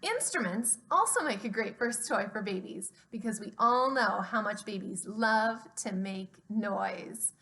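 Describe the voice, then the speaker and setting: A woman hosting a story time for small children, speaking in a simple, expressive, child-directed way as she narrates. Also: medium pitch, measured pace, hardly any pauses, a little hiss or hum.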